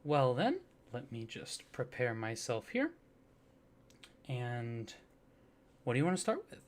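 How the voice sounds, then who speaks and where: A soft-spoken man doing an ASMR roleplay, playing a polite office clerk who talks the listener through a form. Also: mid-range voice, wildly swooping pitch, slow pace. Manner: soft-spoken, polite customer-service patter.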